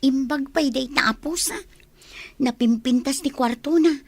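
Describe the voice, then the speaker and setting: A woman's voice: high-pitched, measured pace, a little mellow, no room sound. A woman playing a chatty, curious young housemaid in a radio drama.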